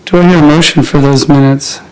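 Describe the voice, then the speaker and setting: A man's voice: fairly high pitch, steady tone, a little fast, clear, resonant tone, rough recording. A man chairing a city advisory committee meeting, his voice weakened by a cold, speaking in a procedural way with some hesitation. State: recovering from a cold, voice weak. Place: council chamber.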